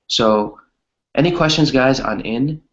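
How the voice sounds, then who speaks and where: A man's voice: mid-range voice, unhurried, lo-fi sound. A man teaching English grammar to a small group, explaining with examples in an encouraging way and often checking in with short tag questions.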